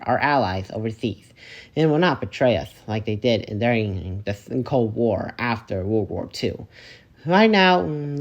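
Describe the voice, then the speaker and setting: A man playing a solemn, patriotic American general who gives a formal, declamatory and slightly halting victory address in an amateur drama. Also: mid-range voice, close to an everyday voice. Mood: grave. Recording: dull lo-fi home microphone.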